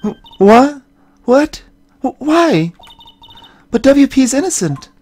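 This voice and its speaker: A woman voicing an excitable, stammering teenage girl in a video game, easily shocked and indignant. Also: sits high, slow, breathy edge, pitched above the performer's own voice, full character voice. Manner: stammering, exclamatory. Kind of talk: voiced visual novel game dialogue.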